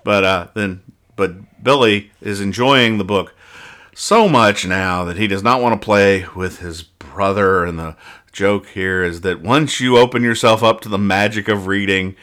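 A man's voice: lowish voice, measured pace, fairly bright tone. A man hosting a casual comedy podcast, reviewing comic strips with wry mock exasperation.

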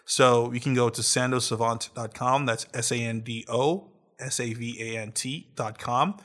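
A man's voice: medium pitch, fairly even intonation, normal speed, fairly bright tone. A man co-hosting a podcast in a casual, playful chat, joking and plugging his own creative work. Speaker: podcast co-host.

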